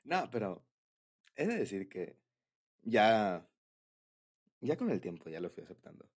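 A man's voice: fairly low pitch, big pitch swings, measured pace, breathy edge. A young man with a Mexican accent in a casual, confiding boyfriend ASMR roleplay, bashful and hesitant.

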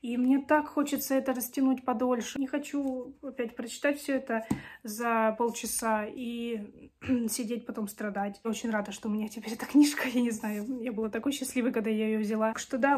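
A woman's voice: a little high, flat delivery, normal speed, a little mellow, a little hiss or hum. A woman in her twenties chatting casually to camera as a book vlogger, her voice strained by a cold and sore throat. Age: 20s. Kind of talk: casual chat to camera about books. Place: small home room with bookshelves.

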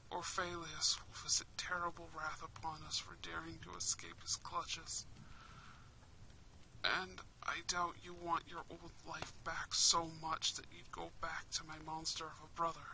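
A woman's voice: sits low, vocal fry, a little hiss or hum, pitched below the performer's own voice, full character voice, rough recording. A woman voicing a kind, protective young prince in an intimate roleplay, tender and dramatic. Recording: steady rain and fireplace ambience.

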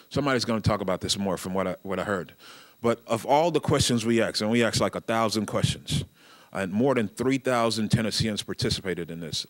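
A man's voice: on the low side, no echo. A middle-aged man from Nigeria giving a conversational keynote speech in a conference room on a handheld mic, with many fillers, restarts and joking asides. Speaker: keynote speaker, state transportation official. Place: large conference room with seated audience. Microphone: handheld microphone.

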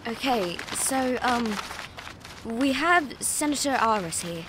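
High-voiced, chatty young female reporter. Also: lively intonation, slow, background noise. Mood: eager, chatty. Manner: hesitant, eager, chatty. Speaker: voice actor. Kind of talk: audio drama acting.

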